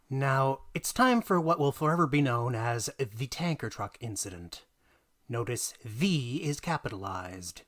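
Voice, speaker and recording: Male narrator for a dramatic story reading, mid-pitched voice, theatrical, hushed asides into punched lines. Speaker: reader of a tabletop gaming tale.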